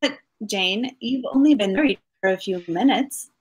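A middle-aged woman playing a witty, poised detective's wife with an arch, measured manner, in old-time radio mystery style. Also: mid-range voice, natural voice. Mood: curious. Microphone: video call. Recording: faint music behind.

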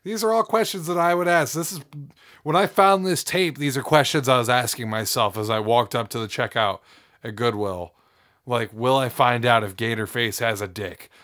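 Young male co-host with a sore throat; animated, loud excited outbursts. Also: mid-range voice, moderate pace. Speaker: podcast co-host. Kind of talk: casual chat. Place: room with shelves of movies and comics.

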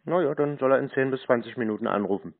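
A man's voice: mid-range voice, fast, gravelly. A man talking on the phone in a terse, dry, challenging manner. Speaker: prank host taking scam calls. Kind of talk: phone conversation. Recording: tinny narrow phone-like sound.

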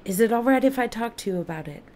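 A woman playing a careful, formal alien scientist in an audio play, speaking precisely with deliberate pauses. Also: a little high, animated, warm tone, natural voice. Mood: careful, explaining. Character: alien witness, careful, formal female scientist-observer of planets.

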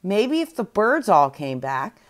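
A woman's voice: mid-range voice, big pitch swings, a little slow. A woman voicing a sleepy, grumpy female panda bear in a storybook tale, with a whiny, eager way of talking. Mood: sheepish.

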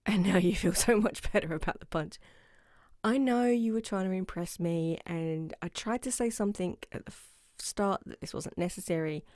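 A woman's voice: on the low side, normal speed, a little mellow, no echo, studio quality, natural voice. A woman playing a playful, affectionate girlfriend in an intimate ASMR roleplay, with a teasing manner. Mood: teasing, modest. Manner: teasing, giggly, sometimes dropping to a whisper. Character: playful affectionate new girlfriend, proud martial arts instructor. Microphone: close microphone in a dry room.

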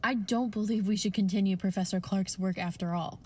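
A woman's voice: medium pitch, fairly even intonation, a little fast, mellow, breathy edge, close to an everyday voice. A woman playing a serious, cautious doctor in an old-time radio play, earnest and questioning. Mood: wary. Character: cautious, serious female doctor, alarmed skeptic.

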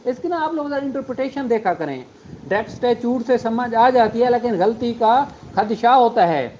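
A man's voice: very high voice, expressive, normal speed, darkish tone, muffled recording, slight background noise. A man with a Pakistani accent giving an informal, explanatory lecture as a law teacher, mixing in English technical terms.

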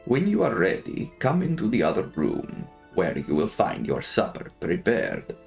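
Man playing a courtly vampire count, deep voice. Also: huge pitch swings, creaky, faint music behind, heavily acted. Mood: gracious, formal. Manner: formal, slow, drawn-out, deliberate. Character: courtly, sinister old aristocratic vampire count, host. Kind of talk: dramatic audiobook reading of gothic novel.

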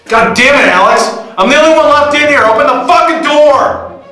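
An actor playing a frantic trapped man in a film thriller, strained and explosive, swearing and shouting demands at a computer. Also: very high-pitched, expressive, moderate pace, faint music behind, full character voice. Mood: frantic rage. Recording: very echoey room, distant mic.

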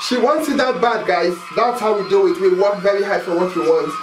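A woman's voice: medium pitch, a little fast. A young woman hosting a reaction video, squealing, whooping and laughing as she watches a performance indoors. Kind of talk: excited casual reaction commentary. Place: salon-style room indoors. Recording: roomy, distant mic, loud music playing. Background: music from the clip being watched.

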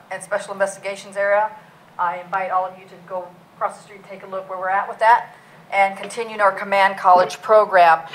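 Loud-voiced woman police chief presenting. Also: medium pitch, slight background noise, low-quality recording. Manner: loud, plain-spoken, many fillers. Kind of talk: public budget presentation. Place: council meeting room.

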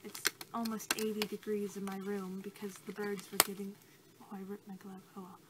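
A soft-spoken young woman doing an improvised ASMR role-play as a researcher, halting and casual, recorded at arm's length in a small bedroom. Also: mid-range voice, little pitch movement. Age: young adult. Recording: clearly noisy home recording.

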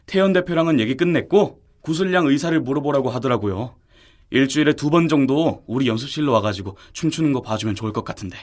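A man's voice: mid-range voice, expressive, fast talker, lightly acted. A man as a casual agency boss. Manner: casual and friendly. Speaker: agency executive.

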